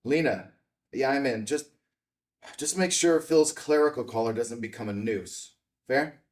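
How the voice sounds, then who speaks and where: A man's voice: mid-range voice, measured pace, lightly acted. Guy playing a worn-out bitter widower, blunt, midrange voice, little far from the mic. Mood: weary, subdued. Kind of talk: screenplay table read acting. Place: indoor filmed table read. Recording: slightly distant room microphone.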